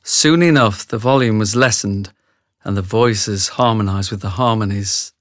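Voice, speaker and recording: A man narrating a radio drama as a scripted story, in a measured, literary manner. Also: fairly low pitch, no echo, clean studio sound, close to an everyday voice.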